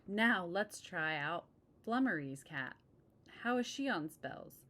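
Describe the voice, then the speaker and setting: A woman's voice: fairly low pitch, animated, takes it slowly, a bit bright, slight background noise. A woman voicing a fussy, fluttery, fretful witch from a storybook. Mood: curious.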